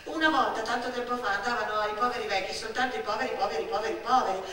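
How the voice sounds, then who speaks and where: A woman performing a theatrical narrative monologue, animated and emphatic, building through repetition and lists. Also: a little high, rapid-fire. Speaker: stage actress and storyteller. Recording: very echoey theatre-like space, distant mic.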